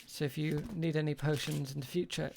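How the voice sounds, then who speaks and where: A game master with a creaky voice and a hesitant delivery, improvising tabletop roleplay as a shopkeeper. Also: mid-range voice, little pitch movement, brisk, background noise.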